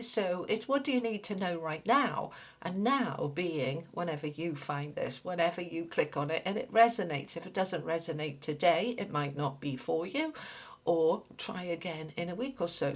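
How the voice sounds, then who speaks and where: A woman giving a casual tarot reading, chatty and reassuring, a little nasal from a cold. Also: fairly low pitch. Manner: chatty, reassuring, thinks aloud while pulling cards. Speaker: tarot reader.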